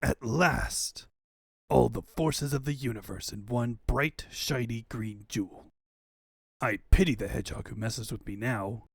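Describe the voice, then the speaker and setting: A man's voice: medium pitch, a little slow, creaky, slightly rough, no room sound, studio quality. A man voicing a pompous mad-scientist villain in a comic fan dub, speaking in a grandiose, theatrical way. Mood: gloating.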